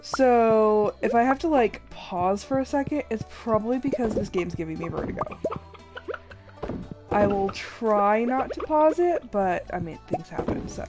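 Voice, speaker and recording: A woman's voice: fairly high pitch, lively intonation, a little slow. A young adult woman streaming a game while congested and a little sick, chatting casually and apologizing for her voice. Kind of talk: casual gameplay commentary. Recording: game music behind voice.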